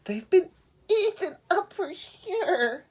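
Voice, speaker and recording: A reader voicing a timid, kindly little female sheep, soft, squeaky and polite. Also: big pitch swings, takes it slowly, exaggerated voice. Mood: worried.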